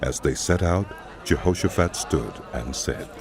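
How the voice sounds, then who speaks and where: A man narrating scripture as a scripted drama, telling the story in a solemn, measured way. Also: low voice, lively intonation, a crowd in the background.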